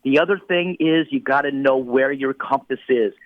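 A man's voice: mid-range voice, moderate pace, dry recording. A man in his late fifties giving a motivational talk on a radio show, speaking emphatically in a repetitive, preacher-like cadence over a phone line. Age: 50s.

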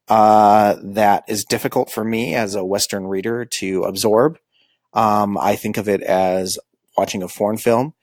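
A man giving an informal lecture on manga, halting often with ums and uhs. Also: lowish voice, no echo. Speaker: school librarian teaching manga basics.

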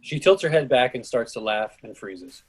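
Husky man reading stage directions. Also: medium pitch, lively intonation, normal speed. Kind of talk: scripted narration, reading a play script.

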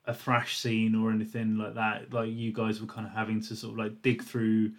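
A man interviewing a singer, asking long, halting questions full of fillers. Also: fairly low pitch, monotone.

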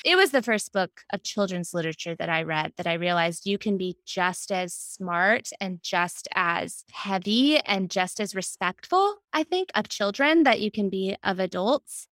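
A woman with an American accent speaking as a podcast guest in an animated, conversational interview style. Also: medium pitch, normal speed, few pauses, no echo. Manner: animated, quotes self and others in anecdotes. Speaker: podcast guest, children's book editor.

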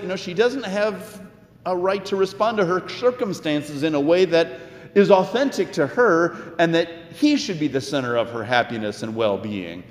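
A man preaching a sermon as a pastor, with deliberate, emphatic phrasing and conversational asides. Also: fairly high pitch. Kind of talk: sermon, expository storytelling with quoted scripture. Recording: echoey hall with long reverb.

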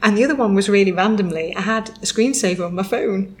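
A woman answers in a casual, halting way, filling her pauses with ums. Her voice sits at a middling pitch for a woman. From Manchester, England. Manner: hesitant, many ums. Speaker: prize-winning photographer guest.

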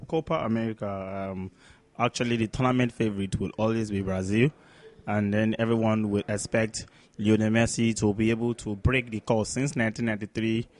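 A man co-hosting a sports talk show, running through scores and news in a halting way with repeated words. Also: on the low side. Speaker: co-host, sports pundit.

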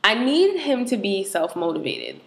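A woman's voice: medium pitch, wildly swooping pitch, normal speed, creaky. A woman in casual banter, talking frankly and with animation about what she wants.

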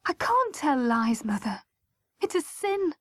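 Woman acting an earnest, high-voiced young bride. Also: very animated, takes it slowly, fairly bright tone, exaggerated voice. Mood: anxious, earnest. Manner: earnest, pleading. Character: earnest young newlywed daughter, anxious and pleading with her mother.

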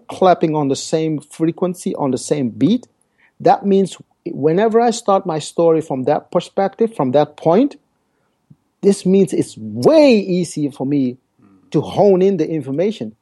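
Male podcast guest, Dutch first language, mellow and halting. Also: fairly high pitch, lively intonation, husky. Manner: hesitant, repeats words while searching for phrasing. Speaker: guest speaker. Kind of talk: podcast interview.

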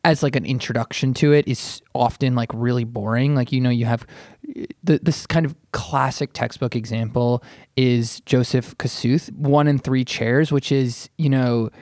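A man's voice: mid-range voice, fairly even intonation, darkish tone, dry recording, studio quality. A man in a casual discussion, explaining as he thinks aloud, often hedging and correcting himself. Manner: thinking aloud, halting and self-correcting, with many "kind of" hedges. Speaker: podcast panelist and arts writer.

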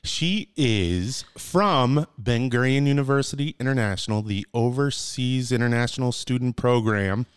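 A man's voice: mid-range voice, normal speed, few pauses, smooth, no room sound, studio quality. A man hosting a podcast in casual interview chat, exuberant and given to emphatic repetition. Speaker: podcast host.

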